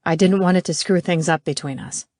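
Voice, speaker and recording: A woman voicing a defensive, regretful young boyfriend in an audiobook, speaking low and clipped. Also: brisk, a little mellow, dry recording, clean studio sound, close to an everyday voice. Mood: tense.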